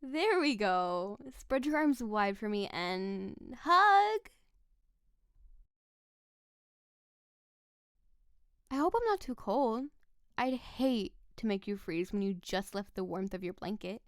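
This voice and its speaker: A woman's voice: mid-range voice, expressive, measured pace, smooth, dry recording, clean studio sound, natural voice. A woman playing a playful, possessive snow-spirit wife in an audio roleplay, coaxing and doting in a theatrical way. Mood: coaxing, affectionate. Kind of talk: scripted audio roleplay addressed to the listener.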